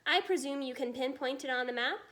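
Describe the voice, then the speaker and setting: Lady doing a huffy proud air force chief from a storybook, high pitched, touchy and impatient. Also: brisk, fairly bright tone. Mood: exasperated. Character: proud, grudging, easily offended male air force commander.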